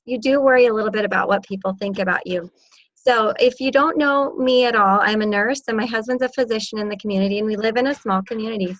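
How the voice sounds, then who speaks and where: Upbeat woman host, kinda high voice, sounds a bit muffled and dry. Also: a bit bright. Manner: upbeat, encouraging. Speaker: panel host. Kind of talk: casual presentation.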